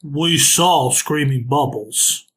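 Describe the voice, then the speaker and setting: A man's voice: fairly high pitch, slow, fairly bright tone. A man voicing a gloomy, eccentric cartoon sea pony doomsayer in an ominous, theatrical, portentous manner. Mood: cryptic. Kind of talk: animated cartoon voice acting.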